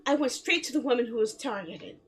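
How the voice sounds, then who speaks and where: A woman streaming a game in a casual, expressive, chatty way, reading story text aloud and reacting to it. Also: fairly high pitch, brisk. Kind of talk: casual live let's play, reading visual novel text aloud. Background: background music.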